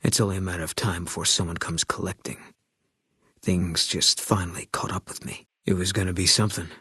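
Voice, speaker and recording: An actor voicing a grim, haunted ex-soldier sniper in a video game, talking in terse, clipped lines with a flat, brooding delivery. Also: male voice, sits low, brisk, slightly rough, full character voice. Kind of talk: video game character dialogue.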